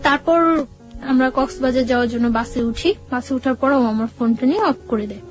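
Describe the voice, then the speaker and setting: A woman telling her life story as an interview guest, in a conversational, halting way, quoting dialogue as she goes. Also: high-pitched, faint music behind.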